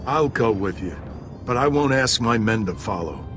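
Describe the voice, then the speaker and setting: Guy doing tired army sergeant, clipped military talk, video game scene w loud music. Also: mid-range voice, expressive, normal speed, lightly acted. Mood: resolved. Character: battle-weary, disheartened male army sergeant. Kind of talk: sci-fi video game acting.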